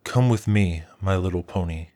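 A towering old male dragon, as a storyteller puts him on, speaking in a very low, unhurried bass. He sounds calm, knowing and gentlemanly, soothing rather than menacing, like a wise elder in a storybook. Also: close to an everyday voice. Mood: calm, tender. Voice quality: deep bass voice, slow and soothing. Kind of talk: storybook reading.

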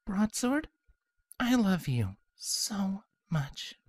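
Gentle pony wife for story audio, played by a woman: emotional, highly animated, close dry sound. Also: drawn-out words, slightly rough, no room sound, heavily acted. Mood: tender, nervous. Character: gentle, loving adult female pony, newly pregnant wife. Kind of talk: audio story character acting.

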